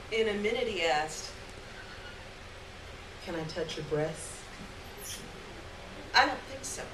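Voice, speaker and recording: A woman giving an expressive dramatic reading of a literary short story live on stage, acting out the dialogue. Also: on the high side. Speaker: story reader. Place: live stage event, recorded from a distance. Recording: roomy distant live recording, clear background noise.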